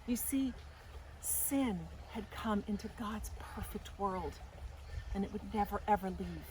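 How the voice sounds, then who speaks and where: Woman, storytime host, children's story narration, slow, deliberate, dramatic, faint outdoor noise. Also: medium pitch. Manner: deliberate, dramatic, expressive reading. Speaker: storytime host reading aloud. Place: outdoors in a garden. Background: faint outdoor ambience.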